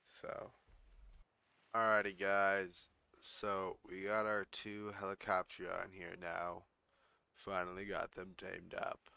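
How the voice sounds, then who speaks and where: Hoarse low-voiced man with a gravelly rasp. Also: slow, no echo. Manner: excitable, swearing when startled. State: losing his voice, hoarse. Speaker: gaming YouTuber. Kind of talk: casual gameplay commentary.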